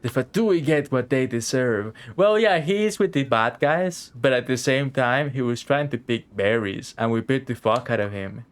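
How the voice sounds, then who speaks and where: A man's voice: medium pitch, moderate pace, hardly any pauses. A young man doing casual live gameplay commentary, slangy and full of swearing. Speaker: game streamer. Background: game music.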